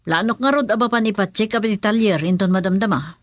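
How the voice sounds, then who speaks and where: A woman's voice: medium pitch, fast, dry recording, clean studio sound, lightly acted. A woman with an Ilocano accent playing a guarded investigator musing in a radio drama. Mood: casual.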